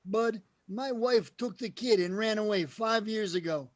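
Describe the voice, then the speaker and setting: A man as a high-voiced, slangy cabbie. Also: a little slow. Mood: suspicious. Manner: slangy, plain-spoken. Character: suspicious, working-class male cab driver. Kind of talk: 1940s radio drama acting.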